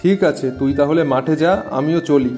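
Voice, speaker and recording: Man playing a homely village farmer, higher-voiced. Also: a little fast, darkish tone, faint music behind, lightly acted. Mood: friendly. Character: weary married village farmer, good-natured but easily exasperated. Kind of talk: animated moral story dialogue.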